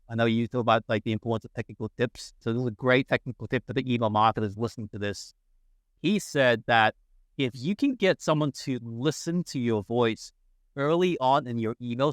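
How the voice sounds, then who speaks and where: A man's voice: medium pitch, no echo. A man, a guest on a podcast, talking enthusiastically in casual conversation, with lots of fillers and restarts.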